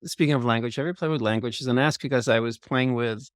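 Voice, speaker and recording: A man who speaks English co-hosts a podcast interview. His voice sits at a middle pitch. He talks in a reflective, loosely phrased way with many fillers, and the recording is dry and close. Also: fast, no echo. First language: Danish. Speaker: podcast co-host. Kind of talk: conversational interview.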